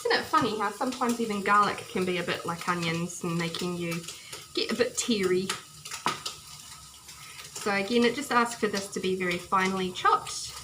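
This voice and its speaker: A chatty woman talks through her cooking in a home kitchen, recorded by a camera above the counter. Her voice sits in the middle of the usual range, and the sizzle of frying food and the clatter of the kitchen come through clearly behind her. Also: medium pitch, noisy background. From New Zealand. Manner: cheerful and chatty, often laughing, talks while chopping and stirring. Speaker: home cooking video host. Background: food sizzling and kitchen clicks.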